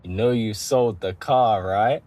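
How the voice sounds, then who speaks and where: A man's voice: lowish voice, measured pace, mellow. A man speaks short lines of dialogue in an advert.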